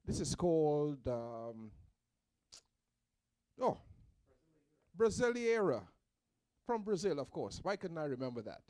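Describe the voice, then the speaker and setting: A man with a Trinidadian accent, a musician chatting casually in a halting, conversational way. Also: on the high side, very animated, darkish tone, no room sound. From Trinidad and Tobago. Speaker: musician, guest. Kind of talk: casual chat, introducing music.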